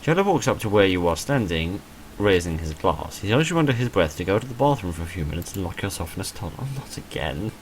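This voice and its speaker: A man with a very low voice narrates a visual novel as he plays it. His delivery is animated and moves widely in pitch, broken by dry, self-deprecating asides. There is faint noise behind him. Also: fast, low-quality recording. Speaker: video creator playing and reading a visual novel. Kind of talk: let's play, reading game text aloud with off-script asides.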